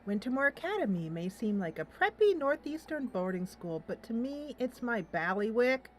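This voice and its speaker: A woman's voice: a little high, sing-song, normal speed. A woman hosting a casual let's play, thinking aloud in a playful, giggly way as she comments on the game. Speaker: host of a gaming channel.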